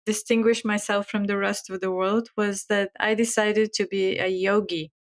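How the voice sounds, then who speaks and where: A woman's voice: mid-range voice, flat delivery, normal speed, vocal fry. A middle-aged woman from Bulgaria with a Bulgarian accent, a guest telling her story earnestly in an interview and laughing often. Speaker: guest chef and author.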